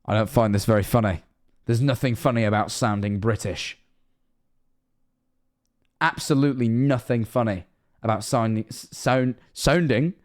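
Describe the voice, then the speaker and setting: A man's voice: mid-range voice, moderate pace. A Scottish man of 21 streaming casually, rambling and self-mocking, swearing often.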